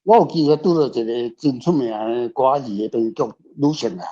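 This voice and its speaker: An older man, an author, discussing his work informally in halting, repetitive phrases. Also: medium pitch, dark tone. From Taiwan. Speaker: author guest. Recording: slightly muffled, faint guitar music behind. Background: faint guitar music.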